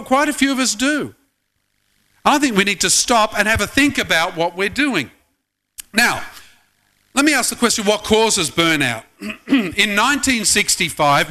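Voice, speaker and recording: High, bright-voiced pastor preaching. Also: male voice. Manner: conversational, asks the congregation many questions. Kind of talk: sermon.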